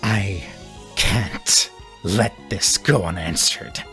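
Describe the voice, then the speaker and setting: A man voicing an intense, obsessive four-armed character in a tabletop game, with deliberate, theatrical phrasing. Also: low voice, sharp tone, soft background music, exaggerated voice. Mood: intense, ominous. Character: intense, obsessive four-armed gamer, exasperated yet sheepish.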